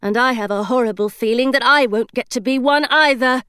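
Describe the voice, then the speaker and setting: A woman's voice: fairly high pitch, fairly quick, a bit bright, clear, resonant tone, no room sound, clean studio sound, voice pushed up, close to an everyday voice. A woman reading aloud, voicing a spirited, stubborn young girl who speaks earnestly. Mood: wry.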